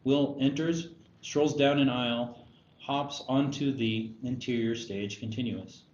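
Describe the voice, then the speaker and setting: A man's voice: medium pitch, steady tone, unhurried, a little mellow. Man reading stage directions, echoey room. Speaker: stage-direction reader at a screenplay table read. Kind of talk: scripted narration. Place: roomy indoor table read. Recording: echoey room, dull sound, faint noise.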